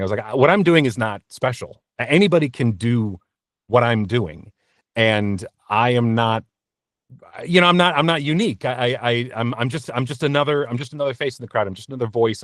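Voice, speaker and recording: A man speaking as an interview guest about his work as a voice actor, in a reflective, halting, self-deprecating way from a small booth. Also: medium pitch, dry recording, studio quality. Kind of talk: candid interview talk. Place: small blanket-fort recording booth.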